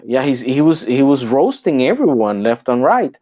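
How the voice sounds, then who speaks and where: Casting: Puerto Rican man, mid-pitch, halting repeats, slightly muffled dry recording. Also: dry recording. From Puerto Rico. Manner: repeats and restarts words, animated when making a point. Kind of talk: casual podcast chat.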